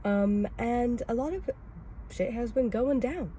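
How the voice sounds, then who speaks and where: A young woman with a cold, sounding raspy and stuffy, chatting casually and rambling in a self-critical way as she vlogs from her car. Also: on the high side, takes it slowly, mellow, soft background music. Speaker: vlogger host. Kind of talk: casual oversharing vlog talk. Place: inside a parked car.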